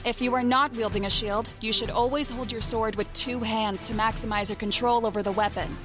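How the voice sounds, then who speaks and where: A woman's voice: fairly high pitch, steady tone, brisk, music in the background, natural voice. An actress playing a tough but fair female knight captain in an audio drama, commanding, firm and no-nonsense. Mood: firm, instructive. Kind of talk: scripted audio drama acting.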